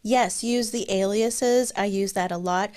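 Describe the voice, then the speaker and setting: A woman's voice: mid-range voice, steady tone, measured pace, a little mellow. A woman in her thirties, a native Tagalog speaker, fields audience questions from an indoor room over a webcam. She keeps it casual and chatty, with frequent fillers and restarts. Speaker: guest speaker. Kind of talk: live Q&A answers on a video call. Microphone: webcam video call.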